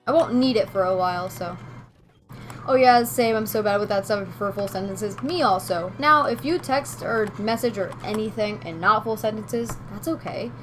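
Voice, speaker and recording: A woman's voice: mid-range voice, moderate pace. A woman from Venezuela livestreaming a game, chatting casually and rambling as she answers viewers. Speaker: game streamer. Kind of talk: casual livestream chat while playing. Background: music.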